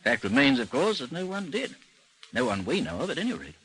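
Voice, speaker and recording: An actor playing a composed, dry investigation chief in an old radio drama, speaking and narrating in a formal, understated way. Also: male voice, medium pitch, sing-song, brisk, a bit bright, husky, poor audio. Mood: measured, dry. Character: composed, dry British chief of a missing persons bureau, narrating his case.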